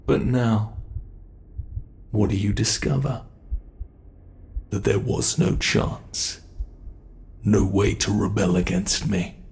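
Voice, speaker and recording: A British man playing a gravelly taunting monster. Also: fairly low pitch, darkish tone, a little hiss or hum. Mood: gloating. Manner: slow, taunting, menacing. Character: cruel male monster hunting a captive. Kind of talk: ASMR horror roleplay.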